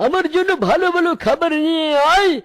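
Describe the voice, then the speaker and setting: A man's voice: very high-pitched, quick pace. A man voicing a gluttonous, carefree quack doctor, a cartoon animal with an exaggerated, wheedling way of talking. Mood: greedy, breezy. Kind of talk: cartoon comedy dubbing.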